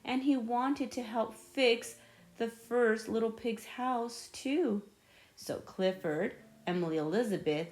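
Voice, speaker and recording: A woman reading a children's story aloud in an expressive narration style with dramatic build-ups. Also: medium pitch. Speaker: storybook reader.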